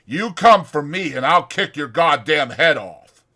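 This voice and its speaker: A man voicing a rough, bullying ranch hand who mocks and threatens. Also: on the high side, lively intonation, measured pace, pushed and bright, pitched above the performer's own voice, exaggerated voice. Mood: taunting. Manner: mocking, bullying.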